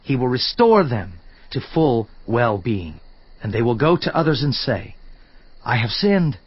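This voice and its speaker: A man's voice: mid-range voice, sing-song, a little slow, breathy edge, dry recording. A man playing an earnest, indignant counselor in a dramatized scripture reading, solemn, emphatic and declamatory in delivery. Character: Elihu, earnest indignant male counselor rebuking a suffering man. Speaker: voice actor in audio Bible. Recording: slightly dull sound, music underneath. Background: background music.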